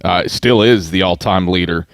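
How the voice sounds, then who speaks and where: Male interviewer, low voice, dry sound, casual and slightly halting delivery with repeated words. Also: no room sound. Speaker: podcast host interviewer.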